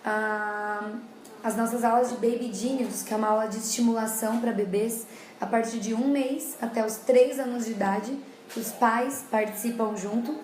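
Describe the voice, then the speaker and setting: A woman's voice: on the high side, steady tone, moderate pace, darkish tone, slight background noise. A woman with a Brazilian Portuguese accent, an educator explaining child development to parents in a casual talk, often repeating herself. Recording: echoey room.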